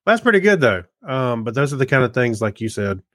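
A man's voice: medium pitch, sing-song, fast talker, a bit bright, no room sound, studio quality. A man co-hosting a podcast, chatting casually in a plain-spoken, conversational way. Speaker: podcast co-host. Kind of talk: casual chat.

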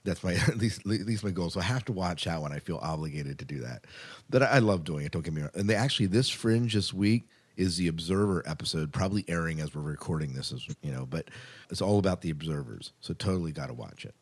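Low-voiced man, casual podcast co-host. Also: quick pace, breathy edge. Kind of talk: casual chat.

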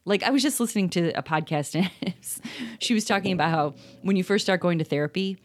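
A woman co-hosting a parenting podcast, talking in a thoughtful, rambling way with many pauses and ums. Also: lowish voice. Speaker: podcast co-host. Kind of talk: casual chat.

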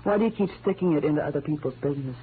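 A woman playing a sultry, sharp-tongued nightclub singer in a 1940s radio drama. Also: deep voice, racing, phone-quality sound, lightly acted. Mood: cool, teasing. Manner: cool, sardonic. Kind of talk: 1940s radio noir acting. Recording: thin, noisy old radio recording.

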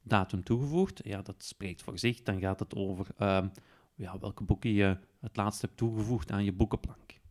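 A man with a Flemish accent presents a software walkthrough step by step, in an informal, explanatory way with frequent tag words. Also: lowish voice, normal speed. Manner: informal, explanatory, often tagging sentences with "hè". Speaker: podcast presenter demonstrating an app.

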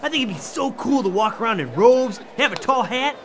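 A woman's voice: a little high, expressive, fairly quick, background noise, natural voice. A woman playing an eager, dreamy cartoon school kid with a slightly bumbling, enthusiastic way of talking. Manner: enthusiastic, bumbling mock-medieval phrasing.

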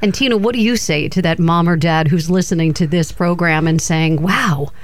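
Female interview host, encouraging and inquisitive, lowish voice. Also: normal speed. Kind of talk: interviewer questions.

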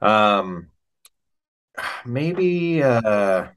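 A man's voice: lowish voice, very animated, extremely slow, fairly bright tone, clear, resonant tone. A middle-aged man chatting casually as an interview guest, telling stories in an enthusiastic, informal, slangy way.

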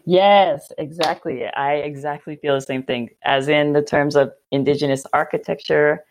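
A woman's voice: low voice, fairly even intonation, normal speed, low-quality recording. A young woman from Hawaii who studied urban planning, interviewing in a casual, friendly way. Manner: casual, friendly, uses "like" often. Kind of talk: conversational interview.

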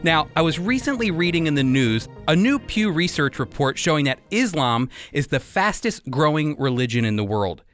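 A man's voice: on the high side, big pitch swings, moderate pace, few pauses, a bit bright, no echo, soft background music. An American man hosting and interviewing on a radio program, speaking as a presenter. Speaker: radio show host.

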